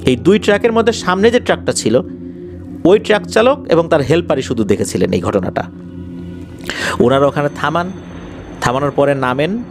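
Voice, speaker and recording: Animated Bangladeshi man telling ghost stories. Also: fairly high pitch, normal speed, pauses often. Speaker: horror story narrator. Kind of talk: conversational spoken storytelling of paranormal incidents. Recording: faint music behind the voice. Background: faint background music.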